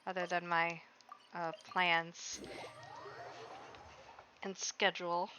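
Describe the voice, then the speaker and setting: Bright-voiced woman streamer chatting. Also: on the low side, little pitch movement, slow, slight background noise. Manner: rambling, talks back to the game as she plays. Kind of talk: casual chat while gaming.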